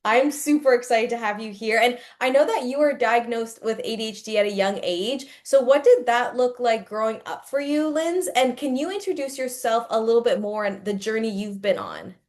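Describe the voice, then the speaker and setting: A woman's voice: a little high, lively intonation, moderate pace, few pauses, darkish tone. A woman hosting a podcast, opening the episode and asking questions in a casual, conversational way, often using "like" as a filler. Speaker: podcast host.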